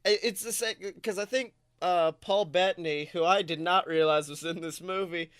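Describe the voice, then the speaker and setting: A man co-hosting a podcast, chatting casually about films in an animated, sweary storytelling way. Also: sits high, moderate pace, clear, resonant tone, no room sound, studio quality. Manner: animated, profane storytelling with quoted reactions. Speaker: podcast co-host. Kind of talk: casual film discussion chat.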